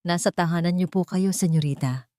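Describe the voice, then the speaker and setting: A woman's voice: lowish voice, brisk, warm tone, dry recording, clean studio sound, lightly acted. A woman voicing an elderly male steward, devoted and remorseful, speaking with formal, earnest deference in an audiobook drama. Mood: apologetic.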